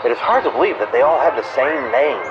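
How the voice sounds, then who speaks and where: A man's voice: medium pitch, very animated, fast, natural voice. A man playing a wisecracking jungle cruise radio host, reading mock-official comedy bulletins in old-time announcer style with dry delivery. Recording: muffled lo-fi radio sound over music.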